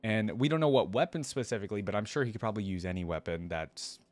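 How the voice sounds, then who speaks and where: A man's voice: lowish voice, fast talker, no room sound. A man reading a fantasy story aloud and adding enthusiastic, informal fan commentary as a reaction video host.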